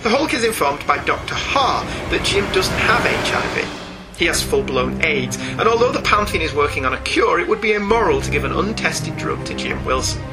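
Guy with a kinda high voice reading his podcast script, music playing behind him. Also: sing-song, moderate pace. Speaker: podcast host. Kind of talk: scripted narration, comic book review.

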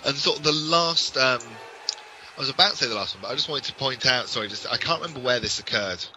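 A man's voice: medium pitch, expressive, fast, pushed and bright, slight background noise, low-quality recording. A British man co-hosting a podcast, giving detailed, enthusiastic, informal explanations. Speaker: podcast co-host.

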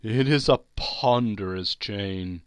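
A man voicing the doomed, anguished ghost of a businessman in a storybook reading, with drawn-out, solemn lamenting. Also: fairly low pitch, clear, resonant tone, no room sound. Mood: wailing, mournful.

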